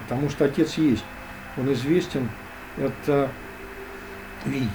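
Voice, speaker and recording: A man talking as a guest in an interview, hesitant and full of drawn-out fillers. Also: medium pitch, noisy background. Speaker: guest expert. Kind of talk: conversational interview.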